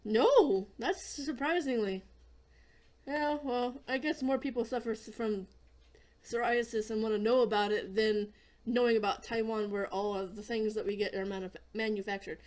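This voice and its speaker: A hoarse woman hosting a casual gaming video, chatting in a rambling way and often clearing her throat. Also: on the high side, a little mellow. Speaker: gaming channel host.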